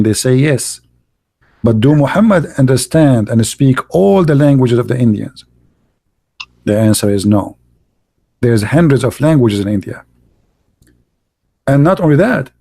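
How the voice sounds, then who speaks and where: A man whose first language is Arabic speaks English with an Arabic accent and a slightly higher-than-usual pitch. He argues in a pointed, mocking way and repeats his points for emphasis. His grammar slips in the way a non-native speaker's does. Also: dark tone. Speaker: live-stream host debating callers. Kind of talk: heated religious debate talk.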